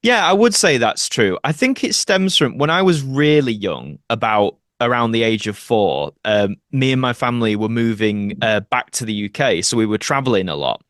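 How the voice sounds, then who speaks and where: A man's voice: mid-range voice, expressive, normal speed, hardly any pauses, fairly bright tone, no room sound. Man chatting, close and dry. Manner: chatty, anecdotal. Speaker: podcast guest, voice actor. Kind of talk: casual interview chat, reminiscing.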